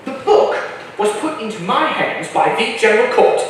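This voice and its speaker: An actor playing a principled, stubborn colonial record keeper in a staged drama, speaking formally and earnestly. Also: male voice, very high voice, lively intonation, moderate pace, a little hiss or hum. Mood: firm, formal. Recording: very echoey hall, far from mic.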